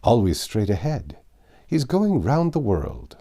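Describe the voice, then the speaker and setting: Here is a talkative, trusting French manservant from a storybook, acted out by a man. He is proud and exclaims emphatically, with a very lively swing in pitch and a soft-edged, mellow tone. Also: slow pace, husky, exaggerated voice. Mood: confiding. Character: voluble, proud, trusting male French servant.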